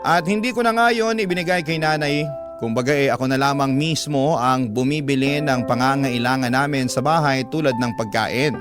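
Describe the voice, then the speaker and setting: A man's voice: medium pitch, normal speed, lightly acted. A man playing a long-suffering breadwinner with a Filipino accent, telling a family story as dramatic radio letter narration. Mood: confiding, frustrated. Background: background music.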